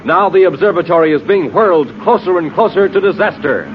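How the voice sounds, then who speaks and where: A man announcing and narrating in punchy, emphatic 1950s radio style, like a showman pitching to listeners. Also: brisk. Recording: dull, noisy vintage radio recording.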